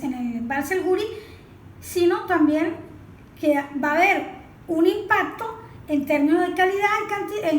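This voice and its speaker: A woman explaining as an expert in an interview, didactic, asking questions and answering them herself. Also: high voice. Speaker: environmental expert being interviewed. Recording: echoey room with faint background noise.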